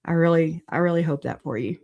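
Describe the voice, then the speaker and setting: A livestream host with a raspy voice, hoarse after a recent illness, chatting casually and laughing a lot at themselves. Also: mid-range voice, fast talker, darkish tone, lo-fi sound. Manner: laughs often, self-deprecating, chatty. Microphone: computer mic on streaming software.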